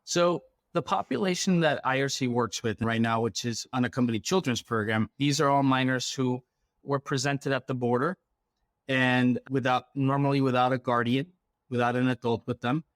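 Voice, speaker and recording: A man's voice: mid-range voice, moderate pace, no room sound, clean studio sound. A man from Ecuador explaining things conversationally as an interview guest, often checking in with the listener. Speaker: interview guest, refugee program worker.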